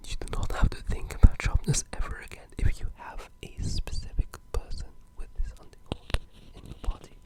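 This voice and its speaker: Hushed ASMR whisperer talking games. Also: low-quality recording. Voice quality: breathy, unvoiced whisper. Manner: casual, rambling, enthusiastic gamer talk. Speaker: video game ASMR content creator. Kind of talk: whispered ASMR game discussion.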